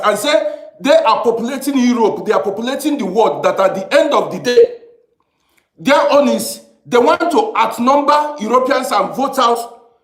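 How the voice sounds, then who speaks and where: Male panel moderator, firm, highly animated delivery, roomy echoing sound. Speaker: host of a panel discussion. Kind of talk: live debate moderation. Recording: echoey room sound.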